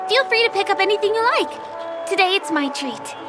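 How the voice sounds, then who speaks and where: Firefly, a sweet young guide. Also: female voice, extremely high pitch, animated, a little fast. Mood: friendly. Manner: gentle, eager to please. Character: friendly young woman guide and stage performer. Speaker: game character. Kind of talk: game dialogue voice acting. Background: game music.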